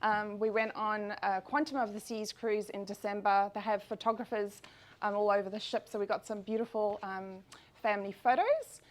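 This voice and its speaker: A woman's voice: medium pitch, steady tone, moderate pace. A woman in her forties with a South African accent, giving an upbeat presentation at a networking meeting and saying um often. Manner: upbeat, speaking with frequent ums. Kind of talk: business presentation.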